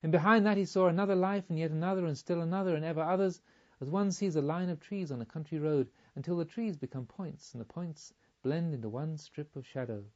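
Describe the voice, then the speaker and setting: A man reading a literary story aloud as an audiobook narrator, in a measured, expressive storytelling manner. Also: fairly high pitch, muffled recording.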